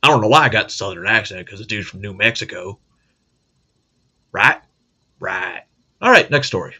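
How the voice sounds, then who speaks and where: Gruff profane middle-aged man. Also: lowish voice, expressive, moderate pace, bright, forceful tone. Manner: blunt, profane, joking. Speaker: host. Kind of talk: casual monologue.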